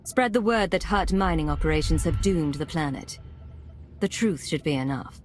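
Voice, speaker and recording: A woman playing a composed, resolute Imperial agent commander in a video game, speaking in a measured, firm manner. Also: low-pitched, animated, soft background music.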